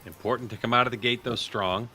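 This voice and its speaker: A man's voice: mid-range voice, steady tone, fast, pushed and bright, soft background music. A man hosting a live sports watch party, commentating on the action as it happens. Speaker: host and commentator. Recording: noticeable background noise.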